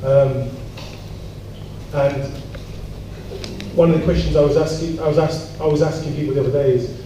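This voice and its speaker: A man's voice: a little high, steady tone, normal speed, mellow. Punchy man in a very echoey hall. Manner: punchy, challenging, wry. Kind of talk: informal talk with rhetorical questions. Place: large echoey room at a public event. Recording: very echoey hall, distant mic, clear noise.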